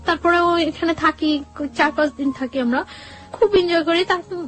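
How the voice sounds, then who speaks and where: A young woman with a Bangladeshi accent tells her own life story in an interview, haltingly, often correcting and repeating herself. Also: extremely high pitch, fairly bright tone. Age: young adult. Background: background music.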